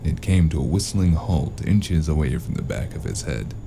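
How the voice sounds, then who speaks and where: A man playing a world-weary, sardonic investigator in a noir audio drama, dry and gruff in both narration and dialogue. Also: extremely low pitch, lively intonation, moderate pace, warm tone, a little hiss or hum, heavily acted. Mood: tense narration.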